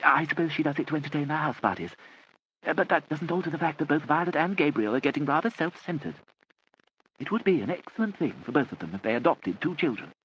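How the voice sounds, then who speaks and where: A man reading an audiobook, voicing a vague, hard-of-hearing elderly aristocratic aunt who talks in a soft, wandering, genteel way. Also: on the high side, lively intonation, fast, highs cut off, a little hiss or hum, pitched above the performer's own voice, close to an everyday voice.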